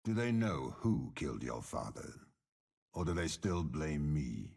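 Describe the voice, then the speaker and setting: An actor playing a commanding, cunning older general in a video game, with a raspy voice and a measured, imperious delivery. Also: male voice, big pitch swings, darkish tone, heavily acted. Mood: cold taunting. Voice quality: deep gravelly rasp. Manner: slow, grandiose, measured, imperious. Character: commanding older male warlord general, cold, cunning, menacing. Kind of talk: video game character voice lines.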